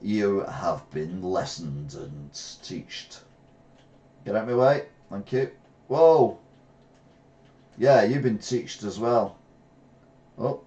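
A middle-aged English man streaming a retro racing game, reacting excitably and trash-talking rivals from a gaming chair at home. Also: fairly low pitch, takes it slowly, long pauses, muffled recording. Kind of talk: live gameplay commentary. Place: small room at home, seated in gaming chair.

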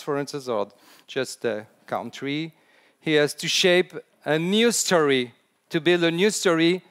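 A middle-aged man with a French accent giving an animated conference talk to an audience, chatty and now and then restarting his sentences. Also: mid-range voice, clear, resonant tone. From France. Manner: animated, chatty, laughs at own jokes, some hesitant restarts. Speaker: conference speaker. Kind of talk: keynote talk on storytelling. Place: large indoor conference stage.

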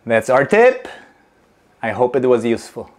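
A middle-aged man, teaching slowly and deliberately while he demonstrates in a home kitchen. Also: medium pitch, very animated, loud music behind the voice. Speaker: movement teacher. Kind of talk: instructional demonstration.

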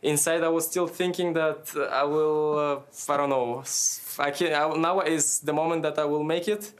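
A man's voice: a little high, steady tone, moderate pace, smooth. A man in his twenties, a tennis player, talking through a match after it ends, searching for words, restarting sentences and laughing as he goes, heard over a remote link from a press room. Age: 20s. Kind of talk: post-match interview. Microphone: remote video link, head and shoulders.

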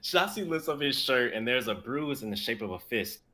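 A man's voice: mid-range voice, lively intonation, normal speed, pushed and bright. A man in his twenties chatting casually and reading his script on a group video call. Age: 20s. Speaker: writer and performer on the stream. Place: indoor room on a video call.

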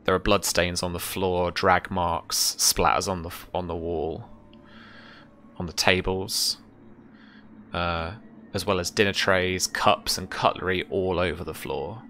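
A man's voice: sits low, fairly even intonation, unhurried, sharp tone, a little hiss or hum. A young man running a tabletop game as game master, describing scenes casually in piecemeal phrases. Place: indoor room, video call.

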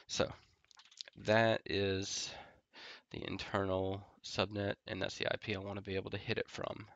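A stuffy, nasal man with a cold, talking in a low, gravelly voice that stays fairly flat. He walks through a networking tutorial in a relaxed way, full of ums and small pauses. The sound is dry and a bit dull, like a desktop mic in a home office. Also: dry recording. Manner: relaxed, filler-heavy explaining while typing commands. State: congested from a head cold. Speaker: network engineer tutorial host. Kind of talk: casual screen-recorded tech tutorial.

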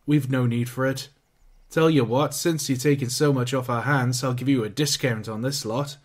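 A man voicing a gruff, blunt scrapyard foreman. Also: medium pitch, fairly even intonation, normal speed, natural voice. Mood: offhand blunt. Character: blunt working-class male scrapyard foreman.

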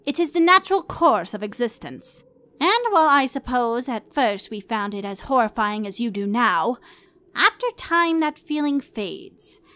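A woman voicing a stately, blithe immortal pony princess, measured and formal, in a fan fiction audio drama. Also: on the high side, big pitch swings, a bit bright. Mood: calm, philosophical.

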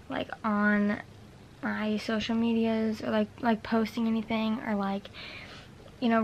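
A teenage girl vlogging casually in her bedroom, rambling with lots of fillers while tidying up. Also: a little high. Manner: rambling, filler-heavy, chatty. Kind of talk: casual vlog chat. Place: bedroom, indoors. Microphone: handheld camera at arm's length. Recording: noisy home recording.

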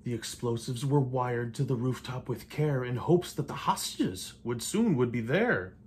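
An older man reads a rhymed picture book aloud in a living room, with measured, theatrical delivery and playful emphasis. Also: medium pitch, animated, a little mellow. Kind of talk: rhymed storybook read-aloud. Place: indoors in a home living room. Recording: light room noise.

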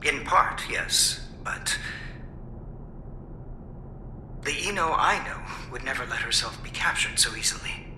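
An actor voicing a brooding, soft-spoken young male wizard in a game, measured, hesitant and formally polite. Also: mid-range voice, expressive, noisy background, close to an everyday voice. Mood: wistful. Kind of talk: dramatic English anime-style game dub. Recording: music behind the voice.

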